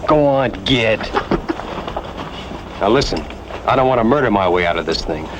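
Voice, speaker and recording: A stern western cattle boss, played by a man, fed up and curt. He speaks gruffly in a lowish voice, on a faintly noisy old film soundtrack. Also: expressive, moderate pace, natural voice. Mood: exasperated. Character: stern, exasperated cattle boss wary of bloodshed.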